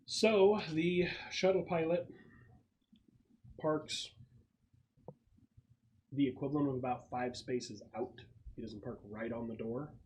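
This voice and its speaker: A man's voice: mid-range voice, takes it slowly, pauses often, a little mellow, a little hiss or hum, rough recording. A man acting as game master, casually explaining and narrating a tabletop roleplaying game among friends. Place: casual group gaming session.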